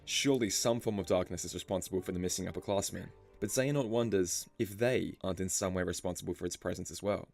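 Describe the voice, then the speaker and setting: Gravelly low-voiced male narrator over music. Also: quick pace, few pauses, a little mellow. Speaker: video narrator. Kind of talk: scripted story explainer narration. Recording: music behind the voice. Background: background music.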